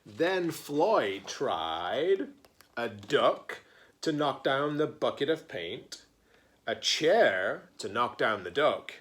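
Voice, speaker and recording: Slow, very animated man reading aloud. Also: fairly high pitch. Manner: playful, dramatic emphasis and pauses. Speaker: teacher-librarian reading a picture book aloud. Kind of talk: animated children's read-aloud with chatty asides.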